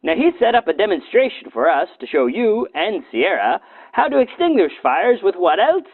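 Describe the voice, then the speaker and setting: A man presents with a high, wildly animated delivery and a mock-serious comic edge. His voice has some rasp, and the recording is dry with a thin, phone-like band. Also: normal speed, no room sound. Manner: tongue-in-cheek, mock-serious. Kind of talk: comedic scripted narration for a safety film. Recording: muffled, phone-like narrow band.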